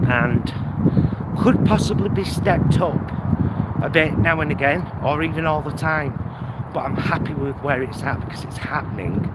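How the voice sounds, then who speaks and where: A British man talking casually to a vlog audience while out walking, in a reflective, hesitant, self-deprecating way. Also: mid-range voice. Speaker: vlogger. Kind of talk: casual walk-and-talk vlog. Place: outdoors, walking along streets. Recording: windy outdoor recording, loud traffic noise. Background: wind on mic, vehicles, urban ambience.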